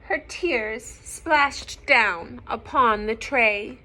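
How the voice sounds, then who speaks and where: Slow-paced woman reading to young children. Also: on the high side, low-quality recording. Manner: expressive, gentle, sing-song reading, often dropping to hushed asides. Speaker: storyteller reading a picture book to young children. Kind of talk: children's read-aloud with asides to listeners. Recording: some background noise.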